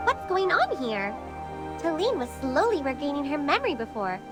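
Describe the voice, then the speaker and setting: A woman's voice: high-pitched, sing-song, moderate pace, over loud music. A woman playing a young, curious and concerned fantasy adventurer in a game story, earnest and questioning. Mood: bewildered. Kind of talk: scripted game voice acting.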